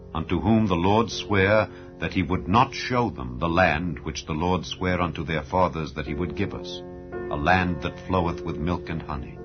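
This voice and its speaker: Male audiobook narrator, low register, solemn and declamatory scripture reading, slightly muffled recording. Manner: measured, formal, declamatory. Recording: dull lo-fi audio with background music. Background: background music.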